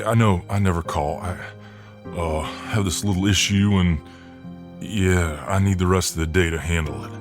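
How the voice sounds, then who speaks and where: A man acting out a friendly, protective, cocky Southern US mailman in an ASMR role-play, with a folksy, soothing drawl. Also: sits low. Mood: protective, easygoing.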